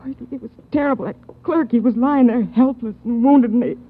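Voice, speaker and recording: An actress playing a shaken young woman recalling a crime she witnessed in a 1940s radio drama, halting and stammering. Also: fairly high pitch, slight background noise, lightly acted. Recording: thin phone-like 1940s radio.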